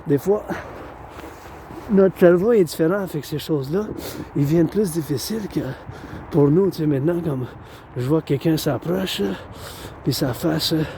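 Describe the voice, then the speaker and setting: Québécois man, warm higher voice, repetitive outdoor monologue, light traffic behind. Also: moderate pace, vocal fry, long pauses. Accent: Québécois French. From Quebec. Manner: repetitive, circling back to phrases. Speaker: vlogger. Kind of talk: rambling monologue to camera.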